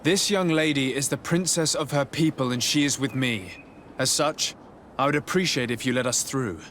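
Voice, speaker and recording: A man acting a courteous, composed noble diplomat with a formal, measured manner in a video game. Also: medium pitch, fairly bright tone, slight background noise, lightly acted.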